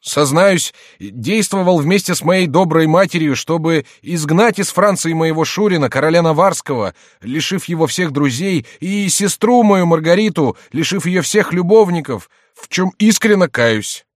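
Man putting on a high-swooping, sly court jester. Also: animated, moderate pace, few pauses, dry recording, clean studio sound. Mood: mock confession. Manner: theatrical, exclamatory, mock-plaintive. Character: sly mocking male court jester of a French king.

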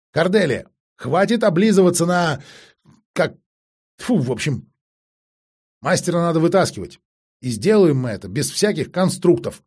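A man's voice: fairly high pitch, a little mellow, slightly rough. A man voicing a young sorceress who is haughty and scornful, scolding and giving commands in an audiobook fantasy story. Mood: bossy, exasperated.

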